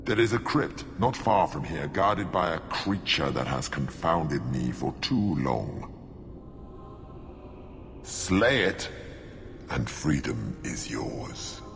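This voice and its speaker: A menacing game demon, very low and gravelly. The speech is imperious and possessive, drenched in long reverb as it lays down threats. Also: male voice, big pitch swings, slow, background noise, full character voice. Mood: coldly bargaining. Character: menacing, possessive ancient demon bargaining for treasure. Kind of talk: video game voice acting. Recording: long reverb with music underneath.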